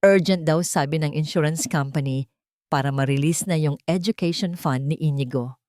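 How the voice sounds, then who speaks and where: A woman's voice: sits low, normal speed, a little mellow, no room sound, studio quality, natural voice. A woman voicing a scheming, falsely tender husband in an audiobook drama, coaxing and pushy.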